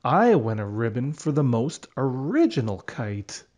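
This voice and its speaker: A man reads a children's storybook as its narrator. His pace is slow and deliberate, and his mid-pitched voice moves expressively up and down. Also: big pitch swings, a little mellow. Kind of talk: children's read-aloud narration.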